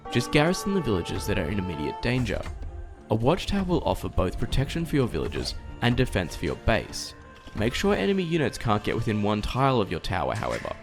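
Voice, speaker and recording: A man narrating a scripted game tutorial in a precise, explanatory, directive way. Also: lowish voice.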